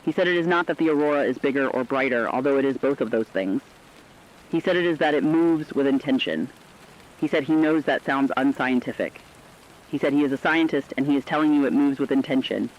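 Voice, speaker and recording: A man's voice: medium pitch, fairly quick, natural voice. Dull-sounding, somber male actor as radio host. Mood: somber, reflective. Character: somber, reflective radio host relaying survivors' messages. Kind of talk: scripted intimate radio monologue.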